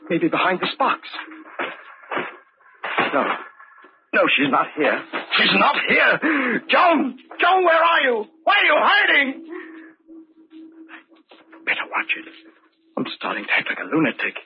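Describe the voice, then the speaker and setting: An actor playing a guilty, paranoid husband in an old-time radio drama, outwardly polite but unraveling. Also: male voice, very high voice, sing-song, slow, pauses often, exaggerated voice. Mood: frantic, unraveling. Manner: agitated muttering to himself, swinging into cries. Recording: dull, noisy old radio recording.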